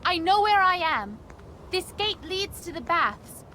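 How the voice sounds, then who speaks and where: An actress playing a bold, self-assured young princess in a video game, speaking in a confident and pointed way. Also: female voice, extremely high pitch, big pitch swings, unhurried, bright, forceful tone, slight background noise, exaggerated voice. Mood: brisk, confident. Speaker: video game companion character. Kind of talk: dramatic game dialogue. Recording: game music behind the voice.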